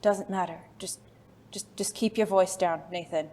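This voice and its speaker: Woman acting refined, low-voiced secretive former spy. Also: fairly even intonation, darkish tone, slight background noise, lightly acted. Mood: composed, regretful. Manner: formal, halting, endearments. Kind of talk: audio drama acting.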